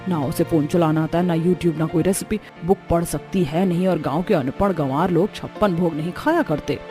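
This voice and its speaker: A woman's voice: lowish voice, normal speed, mellow, pitched below the performer's own voice, natural voice. A woman voicing a boastful, jealous middle-aged village mother-in-law in a cartoon family drama, with a haughty, taunting manner. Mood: scornful. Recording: music behind the voice. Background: music.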